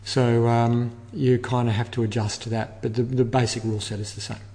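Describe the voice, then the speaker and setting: An older man giving measured, explanatory answers as an interview guest, repeating words as he thinks. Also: mid-range voice, level tone, warm tone. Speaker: interview guest, air traffic control manager. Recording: long reverberant room echo.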